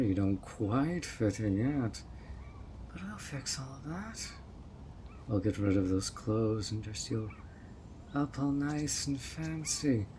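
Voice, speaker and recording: A man's voice: mid-range voice, background noise. A man voicing a handsome, obsessive male fairy who coaxes and dotes in a possessive way, in an audio roleplay. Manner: coaxing and doting, turning controlling.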